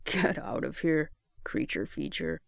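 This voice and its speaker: Man as a gravelly, good-humored middle-aged survivor. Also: a little high, very animated, measured pace, no echo, clean studio sound, full character voice. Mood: teasing. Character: good-humored, protective, awkward forty-three-year-old survivor.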